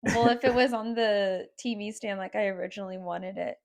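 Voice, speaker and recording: Casting: young woman, mid-pitched with heavy vocal fry, teasing casual chat. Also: steady tone, a little fast. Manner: teasing, laughs easily. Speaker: podcast co-host.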